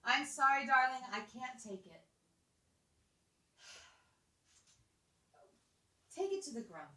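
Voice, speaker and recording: Woman doing a fragile old lady bird puppet, high n bright, slow fluttery talk, echoey. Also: lively intonation, full character voice. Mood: fragile, apologetic. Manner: doting, fluttery, hesitant. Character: fragile, motherly, resigned old female bird. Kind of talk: live puppet show acting. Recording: echoey room, distant mic.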